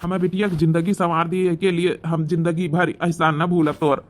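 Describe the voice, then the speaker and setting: A man's voice: a little high, level tone, moderate pace, lightly acted. A man voicing a kindly, sentimental older village father with an Awadhi accent in a cartoon comedy. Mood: grateful. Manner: tender, sentimental, reassuring. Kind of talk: cartoon comedy drama dialogue.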